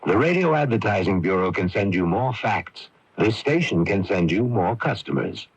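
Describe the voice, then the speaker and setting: A man's voice: on the low side, sing-song, moderate pace, muffled recording. A man announcing a punchy scripted radio promotion. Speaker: commercial announcer. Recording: noisy 1980s radio broadcast tape.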